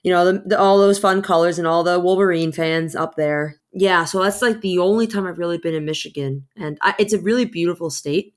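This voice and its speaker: A woman's voice: on the low side, fairly quick, few pauses. A young woman from New York, a visual effects artist, chatting as a podcast guest in a friendly, effusive way and laughing often. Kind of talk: casual interview chat.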